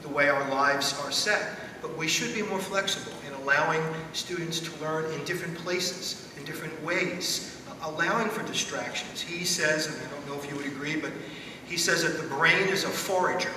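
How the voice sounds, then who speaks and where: A middle-aged man speaking as a school principal on a panel, in measured, deliberate phrases. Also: fairly high pitch. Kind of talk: panel discussion. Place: school theater stage at a panel table. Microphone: distant from the speaker. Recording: echoey hall, distant mic, background noise.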